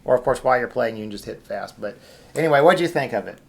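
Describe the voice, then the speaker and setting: A man's voice: mid-range voice, lively intonation, fast talker, vocal fry. A man hosts an informal demonstration in a casual, unscripted way. He explains things as he goes, hesitating here and there as he finds his words. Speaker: video host.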